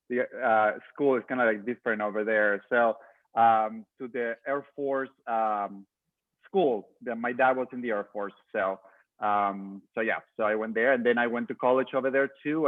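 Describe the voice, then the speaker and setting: A man's voice: mid-range voice, dry recording. A man from Peru, chair of a sister city committee, introducing himself on a video call with frequent hesitations. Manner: hesitant, many fillers. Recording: tinny phone-like dry audio.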